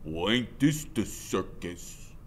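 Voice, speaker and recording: A man voicing a big, mocking, menacing biker gang boss in a storybook, with a rough colloquial drawl. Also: on the low side, big pitch swings, slow, a little hiss or hum.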